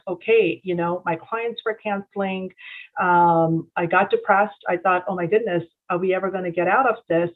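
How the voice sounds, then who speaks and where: Middle-aged woman from south india sharing her story, lots of ums, sounds like a thin phone line. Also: on the low side. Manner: warm storytelling with frequent fillers. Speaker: event speaker. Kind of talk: personal talk to an audience.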